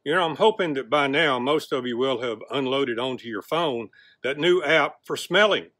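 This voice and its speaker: A man's voice: mid-range voice, moderate pace, fairly bright tone. An older man hosting a garden show outdoors, joking with viewers in a teasing, theatrical way, recorded on a lapel mic. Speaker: host. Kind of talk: playful jokey garden show intro.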